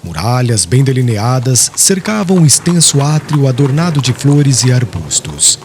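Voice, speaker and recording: A man's voice: mid-range voice, sing-song, moderate pace, darkish tone, slight background noise. A man narrating a literary audiobook in a solemn, dramatic storytelling style.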